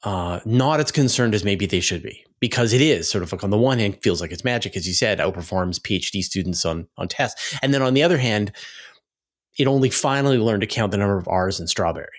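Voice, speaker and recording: A man's voice: fairly low pitch, animated, brisk. A man hosting an interview in a conversational style, searching for his phrasing as he goes. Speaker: interviewer, channel host.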